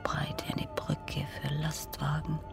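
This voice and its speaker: A man performing as a brooding narrator of an eerie journey, with deliberate, drawn-out phrasing in an experimental radio play. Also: a little high, wildly swooping pitch, vocal fry, loud music behind the voice, exaggerated voice. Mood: hushed, whispering. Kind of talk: dramatic literary recitation in an experimental radio play.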